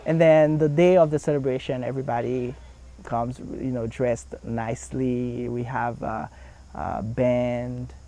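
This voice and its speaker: A man's voice: medium pitch, fairly even intonation, a little slow, noisy background. A young man from Haiti speaking in an earnest documentary interview, with frequent fillers and restarts. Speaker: community center founder's son.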